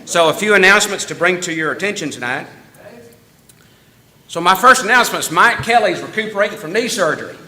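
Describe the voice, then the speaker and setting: A man's voice: sits high, animated, brisk, sharp tone, rough recording. A man giving casual church announcements in a folksy, chatty way, laughing easily and restarting his sentences. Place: church meeting room.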